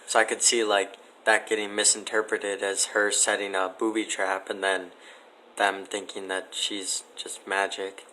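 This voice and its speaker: A man giving casual, matter-of-fact interview answers in a deadpan way. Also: lowish voice, unhurried, slight background noise, poor audio.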